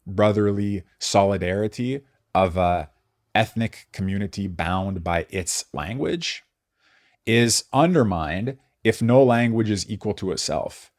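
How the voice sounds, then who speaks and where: A man giving an unscripted philosophy lecture, thinking aloud, searching for words and restating his ideas. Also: on the low side. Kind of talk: unscripted explanatory lecture with read quotations.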